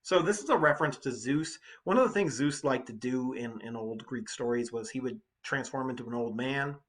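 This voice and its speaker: A man's voice: mid-range voice, normal speed. A man teaching an English lesson, reading aloud and explaining in an informal, conversational way with asides.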